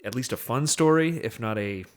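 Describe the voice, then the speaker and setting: A man co-hosting a casual film podcast, speaking haltingly and repeating words as he searches for the next one. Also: mid-range voice, expressive.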